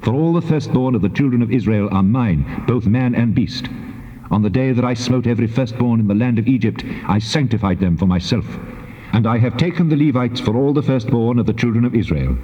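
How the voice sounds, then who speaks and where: An actor playing God as a solemn, commanding deity giving laws in a stately, measured dramatized scripture reading. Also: male voice, mid-range voice, lively intonation, mellow, lightly acted. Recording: dull cassette tape, long cavernous reverb.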